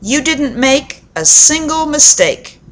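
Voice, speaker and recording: A woman voicing a sweet, sensitive little girl in a children's storybook, speaking in a gentle, earnest way. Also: sits high, sing-song, slow pace, voice pushed up, exaggerated voice. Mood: amazed, admiring.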